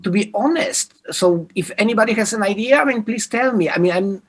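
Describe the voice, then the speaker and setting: Male panelist, breathy voice, hesitant and searching, video-call audio. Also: sits high, animated, brisk. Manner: hesitant, thinking aloud, searching for words. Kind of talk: online panel discussion.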